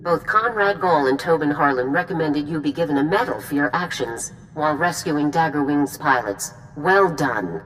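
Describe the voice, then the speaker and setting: A woman playing a composed military general, giving formal, measured briefings in a video game cutscene. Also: deep voice, lively intonation, a little hiss or hum, rough recording. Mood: approving.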